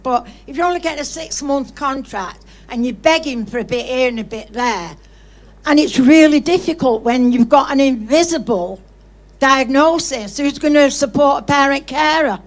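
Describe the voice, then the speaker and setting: A high-voiced woman speaks from the audience at a live public Q&A. She is blunt and colloquial, talking off the cuff and with conviction, like someone who runs a grassroots service. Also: lively intonation, normal speed, poor audio. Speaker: audience member, charity service leader. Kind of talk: impassioned unscripted testimony at a public Q&A. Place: indoor studio audience event.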